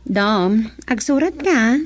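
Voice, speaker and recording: High-voiced, chatty village wife. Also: female voice, lively intonation, slow, faint music behind. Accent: Filipino, Ilocano. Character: busy, chatty village wife giving her husband chores. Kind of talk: Ilocano comedy radio drama acting.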